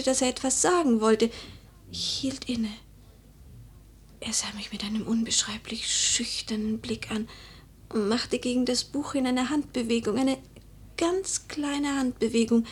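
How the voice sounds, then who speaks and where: Proud young noble widow in mourning: higher-pitched, breathy, solemn, classic radio drama. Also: female voice. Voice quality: breathy, often dropping to a whisper. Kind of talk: German literary radio drama acting.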